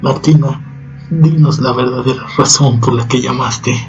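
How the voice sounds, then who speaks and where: An actor playing a radio show host who asks a caller calm, probing questions. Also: male voice, medium pitch, moderate pace, dull audio, over music, lightly acted. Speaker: radio host taking a call. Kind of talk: radio drama acting.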